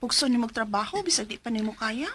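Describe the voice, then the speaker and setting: A practical Filipino wife in a Cebuano radio drama. Her high voice is colloquial, scolding but caring. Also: animated, fast talker, fairly bright tone, breathy edge, faint music behind. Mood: concerned. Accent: Filipino, Cebuano-speaking. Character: concerned, nagging, practical wife. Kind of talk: Philippine radio drama acting.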